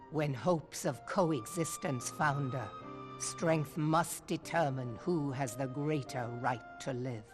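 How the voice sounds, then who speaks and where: A woman playing a stern, resolute admiral in a video game, speaking gravely and with deliberate weight. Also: extremely low pitch. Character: stern, resolute female admiral, hard pragmatic leader. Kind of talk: dramatic video game voice acting. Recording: orchestral game music behind.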